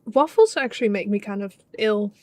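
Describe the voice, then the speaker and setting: A woman's voice: medium pitch, expressive, fairly quick, a little mellow, no echo. A young woman from the Netherlands with a Dutch accent tinged with British and Scottish sounds, chatting casually with her stream chat while playing, with many ums and restarts. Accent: Dutch with British and Scottish traces. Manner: chatty, frequent ums, reads chat aloud.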